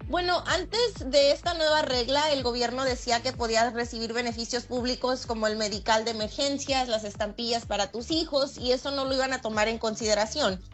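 A woman's voice: on the high side, a little fast, hardly any pauses. Woman lawyer explaining rules. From Michoacán, Mexico. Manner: steady and explanatory, laughs easily. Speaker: immigration lawyer, guest. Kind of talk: explaining legal rules on call-in radio.

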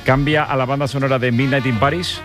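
A man's voice: mid-range voice, fairly even intonation, brisk, music in the background. A man hosting a radio sports show, teasing a colleague with joking questions. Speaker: radio host. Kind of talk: lively sports radio banter.

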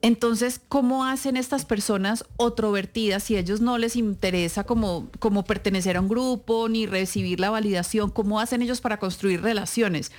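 A woman's voice: medium pitch, normal speed, few pauses. Polite woman interviewer asking questions. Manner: polite, inquisitive. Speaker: interviewer, host.

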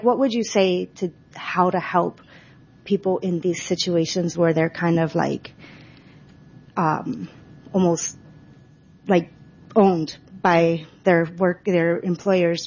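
A woman's voice: lowish voice, fairly even intonation, a little slow, a little mellow, husky, lo-fi sound. A woman in the audience who works in restaurants, asking a question in a hesitant, rambling way with many fillers. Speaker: audience member, restaurant worker.

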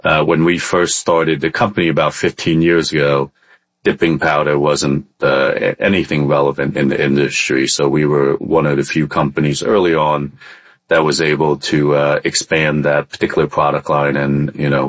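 A man's voice: rumbling low, level tone, normal speed, no echo. A man from Vietnam who moved to the US as a child, telling his story casually as an interview guest, hesitating often. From born in Vietnam, in the US from age ten. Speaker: podcast guest, entrepreneur.

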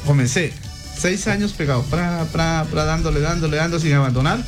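A man, a singer telling his story in a casual interview, animated and quoting others. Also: on the high side, a little fast, over music. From Chiriguaná, Cesar, Colombia. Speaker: singer guest.

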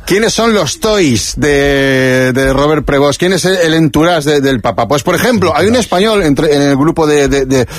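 A man's voice: fairly high pitch, animated, moderate pace, few pauses. A male radio host conducting a comic interview, playfully feeding his guests' jokes.

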